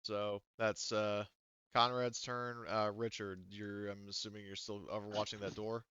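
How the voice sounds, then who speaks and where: A low, smooth male voice, close and dry on a slightly dull microphone. He works as game master, thinking aloud and pausing often as he sorts out rules for the table. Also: flat delivery, pushed and bright, no echo. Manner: halting, thinking aloud while adjudicating rules. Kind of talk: running a tabletop role-playing game.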